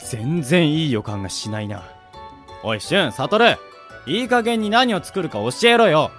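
A man's voice: on the high side, big pitch swings, normal speed, fairly bright tone, faint music behind. A man acting a wary, exasperated young guy who grumbles blunt retorts in an amateur radio drama. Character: wary, exasperated young man among drinking buddies, Kenji.

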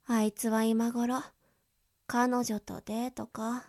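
A woman's voice: on the high side, darkish tone, heavily acted. A woman playing a teenage girl in a radio drama, a childhood friend who hides her feelings, with music behind. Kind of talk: amateur radio drama acting.

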